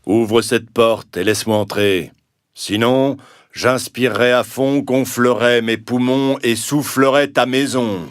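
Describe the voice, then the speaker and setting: Man playing a slow, menacing big bad wolf. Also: medium pitch, steady tone, close to an everyday voice. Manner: slow, drawn-out, threatening. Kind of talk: children's cartoon storytelling. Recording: loud music behind. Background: music.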